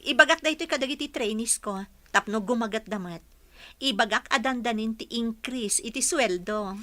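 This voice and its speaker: A woman acting as a modest, cheerful young office worker in a radio drama. Her voice is high and bright with an Ilocano accent, polite in manner and recorded close and dry. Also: normal speed, no room sound. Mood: pleased, modest.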